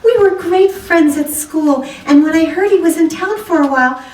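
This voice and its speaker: A woman's voice: very high-pitched, moderate pace, a little mellow. A woman acting a gracious, anxious wife and hostess in a radio drama, with a polite, affectionate manner. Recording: roomy, distant microphone.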